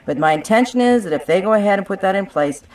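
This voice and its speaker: A woman's voice: mid-range voice, animated, fast talker, darkish tone. A woman on a conference call, picked up at some distance from the mic. She is combative and insistent, arguing her own legal case point by point in a contentious discussion.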